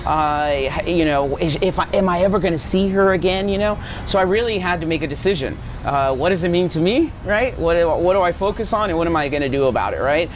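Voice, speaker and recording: Male guest, forty, from Guatemala, slightly high voice, earnest storyteller, clear traffic noise behind. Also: animated, a little fast, hardly any pauses. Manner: earnest, seeks agreement with tag questions. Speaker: interview guest. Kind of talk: personal storytelling in casual interview. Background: street traffic and vehicles.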